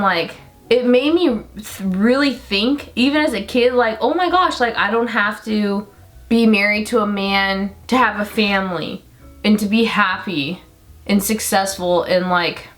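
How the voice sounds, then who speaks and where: A young woman with a deep voice for a woman, chatting in a rambling, enthusiastic way with lots of fillers while doing her makeup on camera. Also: a bit bright. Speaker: beauty vlogger. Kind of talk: chatty get-ready-with-me makeup talk.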